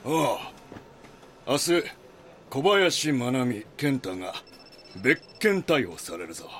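Brusque, swooping magazine chief editor. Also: male voice, mid-range voice, sing-song, slow pace, a bit bright, slight background noise. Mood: dismissive. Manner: blunt, brusque, dismissive toward his junior. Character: pushy, callous male magazine chief editor, gloating over scoops. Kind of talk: audio drama acting.

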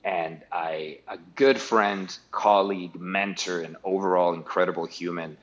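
Middle-aged guy, deep low voice, casual interview talk, keeps restarting words. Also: fairly even intonation, measured pace, fairly bright tone, highs cut off, a little hiss or hum. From Guatemala. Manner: rambling, many restarts and repeated words. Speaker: guest.

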